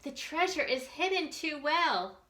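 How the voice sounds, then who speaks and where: A woman voicing eager young children on a treasure hunt in a picture book, excitable, expressive and full of questions. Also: sits high, measured pace, voice pushed up, full character voice. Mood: doubtful, earnest.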